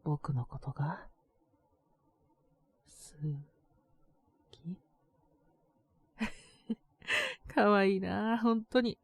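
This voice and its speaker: A woman playing a boyish, slightly mean but doting teenage girlfriend in a romantic audio drama, speaking in a soft, intimate, teasing way. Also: medium pitch, big pitch swings, extremely slow, gravelly, long pauses, dry recording, exaggerated voice. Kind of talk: Japanese romantic situation voice acting.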